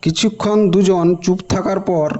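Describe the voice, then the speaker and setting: A man's voice: high voice, fairly even intonation, a little slow, warm tone, highs cut off. A man narrating a horror story in the first person, in dramatised storytelling style. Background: background music.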